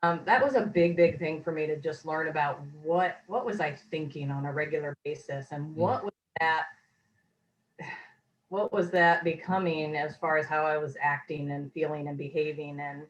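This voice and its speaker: An earnest woman with a fairly low voice, a little hoarse, talking over a video call. She rambles reflectively, circling back and restarting her thoughts as she goes. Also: steady tone, moderate pace, hardly any pauses, dull audio. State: slightly hoarse. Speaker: course graduate, interviewee. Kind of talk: casual testimonial interview.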